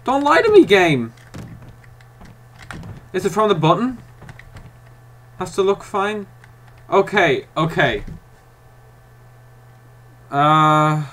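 A man's voice: high-pitched, big pitch swings, takes it slowly, pauses often, a bit bright. A man giving let's-play commentary, chatting to himself while he works out puzzles and snapping at the game in mock frustration. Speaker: gaming YouTuber.

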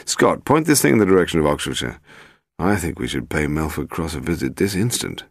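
Audiobook role: suave male sleuth voiced by a male reader, crisp and inquisitive, dry studio sound. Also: rumbling low, very animated, brisk, no echo, heavily acted. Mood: intrigued. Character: suave, inquisitive gentleman detective. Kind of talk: audiobook character voice.

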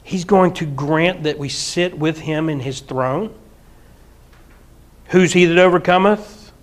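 Animated middle-aged preacher, higher-pitched man. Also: takes it slowly. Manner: animated, gesturing, mock-singing and bursts of laughter. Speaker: pastor. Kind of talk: sermon. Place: church hall with projector screen.

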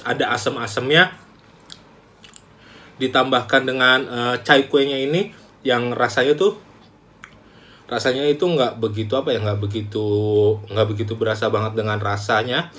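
A young man, chatting casually to camera in a small room as a food vlogger, animated and talkative. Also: medium pitch, moderate pace. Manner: chatty, animated, calls himself by name. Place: small room indoors, plain wall.

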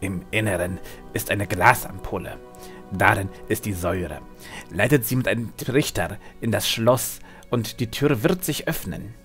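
A man's voice: on the low side, a little slow, a bit bright, faint music behind. A man playing a grim, earnest alchemist and devoted brother in a fan-made fantasy audio drama. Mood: earnest, instructing.

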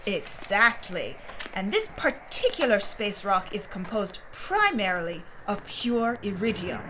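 An actress playing a brisk, secretive mining executive in an audio drama, speaking in a precise, formal way. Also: female voice, mid-range voice, sing-song. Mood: brisk, explanatory. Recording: clear background noise and ambience.

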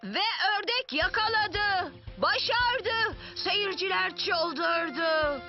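Casting: eager cartoon young boy, very high voice, exclamatory delivery, muffled audio over music. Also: animated, extremely slow, heavily acted. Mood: excited. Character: excited young boy character with a new boomerang toy. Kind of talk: dubbed children's cartoon acting. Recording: dull lo-fi audio with loud music.

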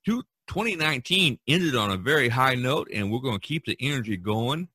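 A male American podcast host with a bright, forceful delivery. His voice has a husky edge and is recorded dry and close. He talks emphatically and with upbeat energy. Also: mid-range voice, lively intonation, brisk, no echo. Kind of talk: enthusiastic podcast chat.

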